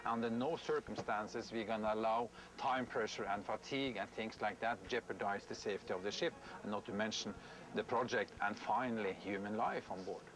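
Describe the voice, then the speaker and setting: A man's voice: lowish voice, fairly even intonation, brisk, background noise. A man, a ship captain sternly addressing his officers at a meeting. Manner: stern, commanding. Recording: music bed underneath.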